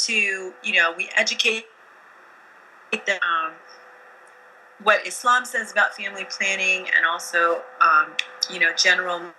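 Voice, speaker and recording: A woman's voice: medium pitch, bright, forceful tone, slight background noise, poor audio. A woman from Afghanistan who grew up in the States, a documentary filmmaker answering interview questions candidly, with fillers and restarts.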